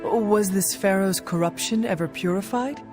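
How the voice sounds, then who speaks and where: A woman's voice: mid-range voice, moderate pace, close to an everyday voice. A woman playing a solemn, earnest warrior in a video game, speaking formally and literally. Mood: skeptical. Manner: formal, literal, few contractions. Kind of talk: video game cutscene acting. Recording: long reverb, music behind.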